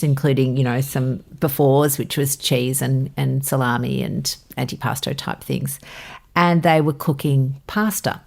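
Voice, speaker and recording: A low-pitched woman with an Australian accent, bantering on a podcast. Her manner is upbeat and chatty as she trades stories with her co-host. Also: gravelly. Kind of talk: casual podcast chat.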